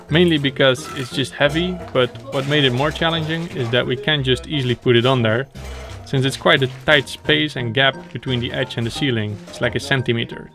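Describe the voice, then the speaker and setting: A man's voice: mid-range voice, a bit bright. A young man hosting a renovation vlog, explaining the work in a plain, matter-of-fact way. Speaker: host of a renovation project vlog. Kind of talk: explanatory vlog talk and narration.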